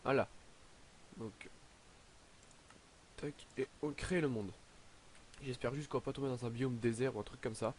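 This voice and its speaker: A teenage boy narrating his game in a casual, hesitant way, filling pauses with "donc, euh" and sounding slightly stuffy from a cold. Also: mid-range voice, fairly even intonation, darkish tone, slight background noise. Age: teens. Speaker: gaming YouTuber. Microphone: headset.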